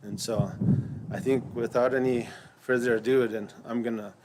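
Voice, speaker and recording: A man whose first language is Lakota speaks haltingly at a ceremony, with plenty of ums and pauses, then settles into a steady, rhythmic prayer. He has a middle-pitched voice. The live stream carries clear background noise. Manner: hesitant, many fillers, then steady chanting prayer.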